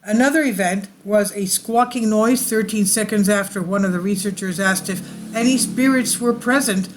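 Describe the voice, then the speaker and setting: A woman's voice: mid-range voice, normal speed, noisy background. A woman hosting her channel, reading an article aloud and often stumbling and correcting herself mid-sentence. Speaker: channel host.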